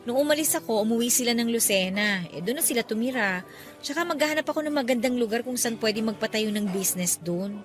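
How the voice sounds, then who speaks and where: A female actor as an affectionate, trusting young girlfriend in a radio drama. Her voice is clearly high and conversational, easily tipping into questions, over soft background music. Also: animated, fast, close to an everyday voice. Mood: light, teasing. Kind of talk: Tagalog radio drama acting.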